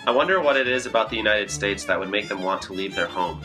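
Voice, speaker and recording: A man co-hosting a scripted podcast, with a quick, deadpan delivery. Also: lowish voice. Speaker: podcast co-host. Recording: music playing clearly behind.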